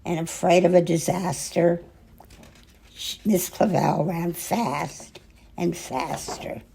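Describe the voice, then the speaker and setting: An older woman reading a children's picture book aloud in an expressive, gentle way, pausing dramatically between short phrases, with a husky, gravelly voice. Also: fairly low pitch. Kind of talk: children's storybook read-aloud, slow and expressive.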